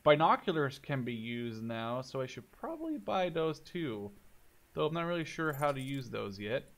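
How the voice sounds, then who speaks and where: A man in his twenties giving casual let's play commentary, thinking out loud in short phrases as if talking to himself. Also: mid-range voice, big pitch swings, moderate pace. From Canada or Netherlands. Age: 20s. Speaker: gaming YouTuber playing and commentating.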